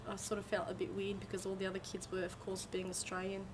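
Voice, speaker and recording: A woman's voice: mid-range voice, completely flat delivery, quick pace, background noise. A young woman recounting her school days in plain, fluent, matter-of-fact English. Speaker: interviewee. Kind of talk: casual recollection.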